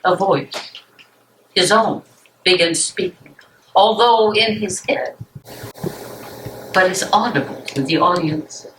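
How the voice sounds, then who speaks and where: A woman's voice: fairly low pitch, slow pace, long pauses, fairly bright tone, far from the mic. A woman reading stage directions as narrator, in scripted narration recorded in an echoey room. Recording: echoey room with faint noise.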